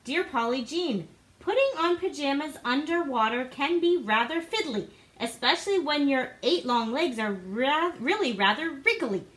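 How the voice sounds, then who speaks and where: A woman's voice: high-pitched, lively intonation, moderate pace, a bit bright. A woman reading rhyming storybook text aloud for young children, playful and theatrical in her delivery. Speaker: guest co-reader. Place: living room indoors. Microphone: device camera a short distance away.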